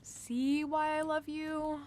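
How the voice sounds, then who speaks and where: A woman's voice: sits high, level tone, takes it slowly, a little mellow, slight background noise. A woman playing an upbeat, impatient young wheelchair user in a radio play, chatting in perky slang and muttering to herself. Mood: breezy, confident. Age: young adult. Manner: perky, slangy, talks to self. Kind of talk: naturalistic radio drama acting.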